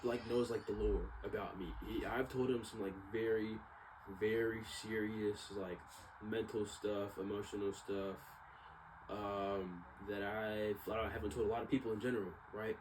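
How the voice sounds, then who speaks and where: A man's voice: on the low side, fairly even intonation, moderate pace. A young man giving a casual, rambling personal monologue in informal slang, often circling back and trailing off. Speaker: vlogger. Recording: clearly noisy background ambience.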